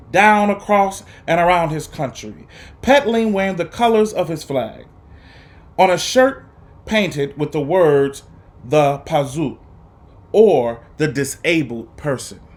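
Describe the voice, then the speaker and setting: Man, higher-pitched voice, very animated intonation, earnest storybook reader, emphatic phrasing. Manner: earnest, encouraging. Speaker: guest reader for students. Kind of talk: expressive children's storybook read-aloud.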